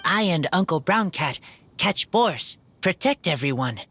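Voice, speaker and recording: A woman playing a shy wolf-raised teenage boy in an anime game, speaking tersely in halting, broken sentences. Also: lowish voice, animated, a bit bright, slight background noise. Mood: earnest, halting. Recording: music behind the voice.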